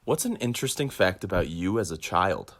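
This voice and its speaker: A young adult interviewer asking scripted quick-fire questions in a polite, upbeat way. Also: low-pitched, animated, a bit bright.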